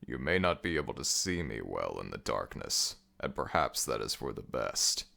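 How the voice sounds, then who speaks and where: A man playing an ancient, stern jackal god of the dead in an ASMR audio roleplay, speaking in an imperious, deliberate, menacing way. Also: a bass voice, very animated, vocal fry, pushed and bright, pitched below the performer's own voice, full character voice. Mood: menacing, curious.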